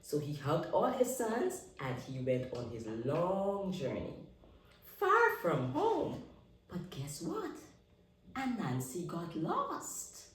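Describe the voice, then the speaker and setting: A woman reading a picture book aloud to young children in an expressive way, asking her listeners questions and pausing for drama. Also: on the low side. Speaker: children's read-aloud storyteller. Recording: echoey room, distant microphone.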